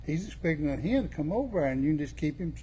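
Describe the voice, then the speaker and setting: Folksy older Southern man, higher-pitched voice. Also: expressive, fast talker, warm tone, slight background noise. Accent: Southern US, rural Tennessee. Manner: plain-spoken, folksy. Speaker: guest, experienced outdoorsman. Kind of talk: casual storytelling chat.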